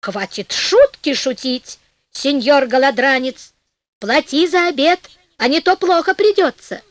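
A woman's voice: high voice, a little slow, dry recording. A woman voicing a big, stubborn, hot-tempered male innkeeper in a storybook, blustering and emphatic. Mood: angry, indignant.

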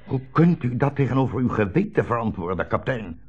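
An older man acting a dignified, earnest old rabbi in a 1960s Dutch radio play, speaking in measured, formal phrases. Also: medium pitch, expressive, darkish tone, breathy edge. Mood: indignant, pleading. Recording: dull vintage 1960s radio recording.

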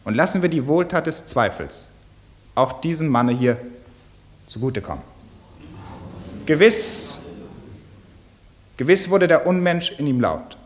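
An actor playing an earnest, solemn defence lawyer in a radio play, speaking in measured rhetorical phrases with emphatic pauses. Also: male voice, on the high side, sing-song. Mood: pleading, earnest. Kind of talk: German radio play courtroom speech.

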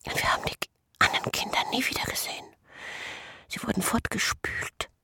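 A man voicing a scarred, obsessive twin brother in a radio drama, insistent and secretive, with a breathy, raspy whisper. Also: a little fast, bright, forceful tone, no echo. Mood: haunted whisper. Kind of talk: intimate radio drama acting.

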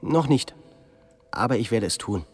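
An actor playing a polite, evasive young pupil speaking deferentially in a radio drama. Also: male voice, mid-range voice, lively intonation, unhurried, a little mellow, no room sound, soft background music.

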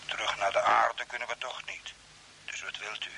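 A man acting in a radio play as a mysterious, calmly insistent Earthman stranded on Mars, speaking in a measured, formal way. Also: fairly low pitch, gravelly, full character voice. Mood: matter-of-fact. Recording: hissy old radio broadcast, faint noise.

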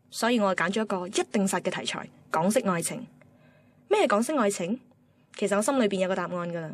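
An actress playing a driven, blunt young radio assistant with a Hong Kong Cantonese accent, in a radio drama. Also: female voice, mid-range voice, a little mellow, breathy edge. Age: young adult. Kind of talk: radio drama narration and banter.

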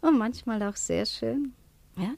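Lively, high-voiced flirtatious woman. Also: unhurried, vocal fry, dry recording. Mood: coaxing, mocking. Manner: coquettish, capricious, lightly mocking. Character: flirtatious, teasing, evasive woman meeting her former lover. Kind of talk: German radio play acting.

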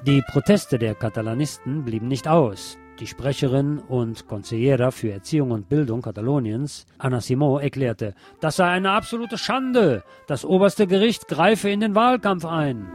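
A man's voice: mid-range voice, lively intonation, moderate pace, hardly any pauses. A man presenting scripted local radio news as a narrator. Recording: music playing behind the voice. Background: background music.

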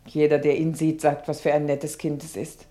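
A woman's voice: deep voice, little pitch movement, normal speed, mellow, natural voice. An actress in a German radio play voicing a distraught, weary mother, with a sorrowful, emotional delivery. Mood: bitter, worried. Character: distraught, weary mother of a missing young boy.

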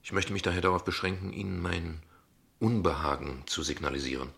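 A father in a radio drama, guarded and ironic. His voice is low, with plenty of fry and a husky edge. He phrases things formally and deliberately, keeping a dry, courteous defensiveness. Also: a bit bright, full character voice. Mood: defensive, cool. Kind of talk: German radio play dialogue acting.

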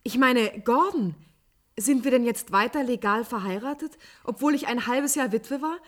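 An actress playing an anxious wife who falters and repeats words, in a German science-fiction radio play. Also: female voice, a little high, normal speed, natural voice. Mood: troubled. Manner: hesitant, breaking off and repeating words. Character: anxious, faltering wife waiting for news of husband.

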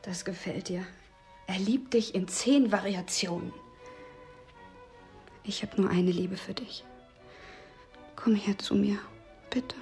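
A woman's voice: medium pitch, slow pace, pauses often, darkish tone, faint music behind. A woman playing a jealous, neglected lover in a radio drama, sardonic and needling. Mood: jealous, wooing.

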